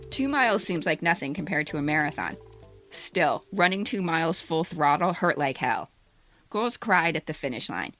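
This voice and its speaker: A woman's voice: sits low, a bit bright, no room sound. A woman in her fifties, a podcast co-host, speaking in a candid, direct way as a personal storyteller. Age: 50s. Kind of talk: scripted personal essay narration, then casual banter.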